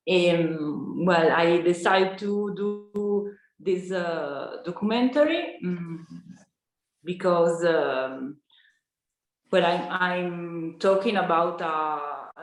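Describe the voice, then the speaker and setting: Italian woman director, slow hesitant English, roomy sound. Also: lowish voice, slight background noise. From Italy. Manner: halting, searching for words in English. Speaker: film director. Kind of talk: panel discussion.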